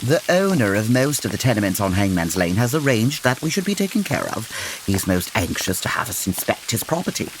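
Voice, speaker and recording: Victorian detective, proper and precise, very animated intonation, audio comedy, noisy rainy backdrop. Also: male voice, fairly low pitch, a little fast. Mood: polite, firm. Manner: formal, precise, gently pompous. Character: composed, courteous Victorian gentleman detective. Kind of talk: comedy audio drama acting. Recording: noisy, rain-like hiss behind.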